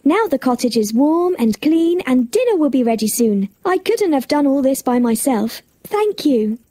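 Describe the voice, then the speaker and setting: A woman's voice: sits high, big pitch swings, normal speed, mellow, no echo, studio quality. A woman playing a sweet, polite young princess in a children's fairy tale. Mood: cheerful. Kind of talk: fairy tale storybook acting.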